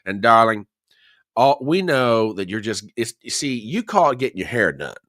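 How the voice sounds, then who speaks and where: Man, middle-aged, livestream host, slightly hoarse, a bit nasal, chatty, jokey, dry close sound. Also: mid-range voice, sing-song, normal speed, fairly bright tone, no room sound. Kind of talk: casual livestream chat.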